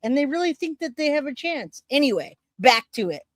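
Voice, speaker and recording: A woman in her forties hosting a livestream, chatting casually with viewers in an animated, joking, slangy way. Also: high voice, brisk, no room sound, clean studio sound. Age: 40s. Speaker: livestream host reacting to news.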